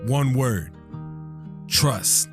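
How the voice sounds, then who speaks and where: An actor playing a proud, tender middle-aged father in an audio drama, giving earnest, deliberate advice from a hospital bed. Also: medium pitch, lively intonation. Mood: fatherly, sincere. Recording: guitar music under the voice.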